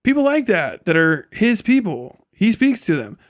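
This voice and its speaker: An American man livestreaming a casual, profane, blunt and rambling rant in an animated manner. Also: high-pitched, normal speed, dry recording, clean studio sound. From United States. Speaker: livestream host. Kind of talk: casual ranting livestream commentary.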